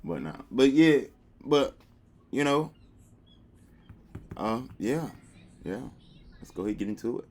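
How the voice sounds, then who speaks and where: Man, reaction host, casual, slangy, very animated pitch. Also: a little slow, long pauses. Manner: animated, playful, chatty slang.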